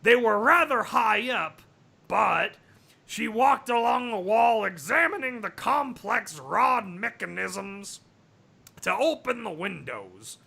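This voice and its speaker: A man putting on a raspy, strained, grating comic narrator voice to read a story in a mock-dramatic way. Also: sits high, very animated, slow, sharp tone, heavily acted. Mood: strained, exaggerated. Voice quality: raspy, gravelly. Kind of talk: mock-dramatic reading of fan fiction.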